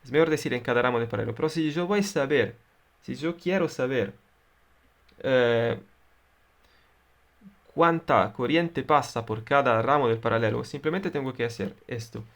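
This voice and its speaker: A man with an Italian accent, a native Italian speaker, explaining electronics in a deliberate, halting way with frequent fillers. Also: mid-range voice, fairly bright tone. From Italy. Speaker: electronics tutor. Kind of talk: explaining circuits at a whiteboard.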